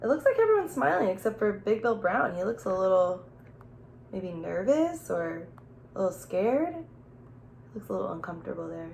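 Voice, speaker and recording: Female children's teacher for story time: medium-pitched, playful, expressive delivery, speaking directly to little listeners. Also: moderate pace, gravelly, a little hiss or hum. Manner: expressive, playful, with gasps and exclamations; simple explanations and questions addressed to young children. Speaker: teacher reading aloud to children. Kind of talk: children's storybook read-aloud with questions and asides.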